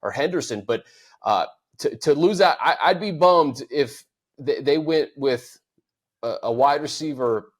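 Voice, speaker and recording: A man hosting a casual sports podcast chat, talking in a quick, conversational way and building on his co-host's points. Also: on the high side. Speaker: podcast host.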